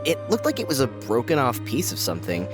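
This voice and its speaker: An actor playing a goofy, lovestruck young soldier in a video game, musing in a theatrical, melodramatic way. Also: male voice, medium pitch, wildly swooping pitch, fast. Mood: insistent, smitten. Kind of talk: video game character dialogue. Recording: music behind the voice.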